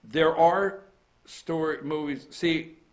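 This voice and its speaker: A man hosting a casual morning talk show, with a voice pitched a little higher than most men's. He teases his co-host and cuts in on her, then turns to the viewers to point them where to look. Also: slow. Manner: teasing, banters and interrupts.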